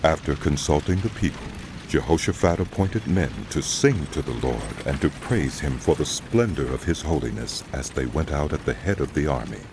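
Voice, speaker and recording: Very deep-voiced man narrating scripture. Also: animated, moderate pace, few pauses, background noise.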